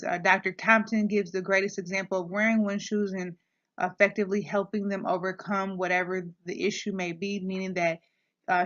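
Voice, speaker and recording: A woman's voice: medium pitch, fairly bright tone. A woman giving a class presentation, hesitant, with many filler sounds and restarts. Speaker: student presenter. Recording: dull lo-fi recording.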